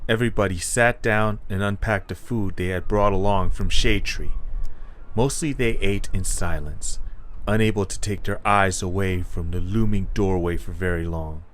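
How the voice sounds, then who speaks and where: A man's voice: low voice, moderate pace, slight background noise. A man reading scripted narration for an adventure story.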